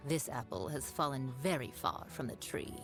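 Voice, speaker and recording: A scheming game sorceress with a low, silky voice that drips with arrogance. She teases in a sly, unhurried way. Faint music plays behind her. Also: lively intonation. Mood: sly taunting. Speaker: game character voice line. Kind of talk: recorded game voice acting.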